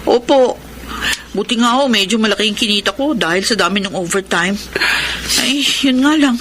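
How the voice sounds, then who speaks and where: A woman's voice: mid-range voice, normal speed, fairly bright tone, a little hiss or hum, natural voice. An actress playing a dutiful, tired young working woman, the eldest daughter, in a radio drama. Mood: reassuring. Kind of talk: Tagalog radio drama acting.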